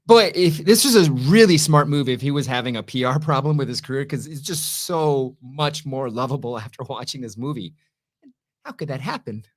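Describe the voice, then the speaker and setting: A man co-hosting a review show, chatting casually with enthusiasm and emphasis. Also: medium pitch. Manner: enthusiastic, emphatic, quick to banter. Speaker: co-host of an entertainment review show.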